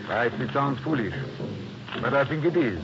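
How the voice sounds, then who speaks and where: An actor playing an earnest, dignified police inspector with a French accent in a 1950s radio drama, formal and measured. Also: male voice, mid-range voice, expressive, over music, lightly acted. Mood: dignified, resolute. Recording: muffled old radio recording with noise.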